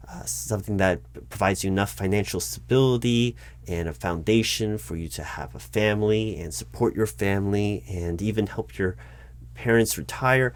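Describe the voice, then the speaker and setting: Man playing a halting, low-voiced recruiting manager, dry sound. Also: no echo, natural voice. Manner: halting, stammering, diplomatic. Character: patient but baffled male corporate recruiting manager. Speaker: recruiting manager in a job interview roleplay. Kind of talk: one-sided spoken ASMR roleplay interview.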